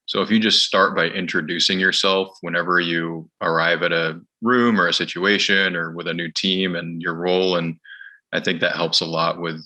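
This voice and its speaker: A very deep male voice with a sharp, forceful edge gives calm explanations on a panel. His delivery is flat and steady. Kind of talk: panel discussion.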